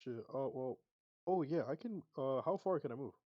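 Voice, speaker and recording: Male gamer, medium pitch, brash slangy table talk with heavy swearing, dry and slightly muffled recording. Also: lively intonation, moderate pace, no echo. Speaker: player in a tabletop game. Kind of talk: casual gaming chat.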